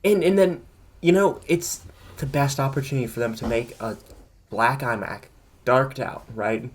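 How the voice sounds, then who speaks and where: A man's voice: mid-range voice, moderate pace, breathy edge. A man co-hosting a casual tech podcast, opinionated and rambling as he thinks aloud. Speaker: podcast co-host.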